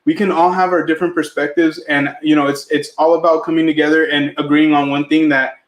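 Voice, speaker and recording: A man's voice: on the high side, little pitch movement, a little fast, echoey room, rough recording. A man co-hosting a live podcast, talking in a chatty way with lots of fillers and restarts; his family is Mexican and his first language was Spanish. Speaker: podcast co-host.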